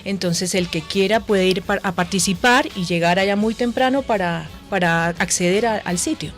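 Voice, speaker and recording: Colombian woman, medium-pitched, explaining as guest. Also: moderate pace, soft background music. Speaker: voice center director, guest. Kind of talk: explanatory interview talk. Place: radio station booth.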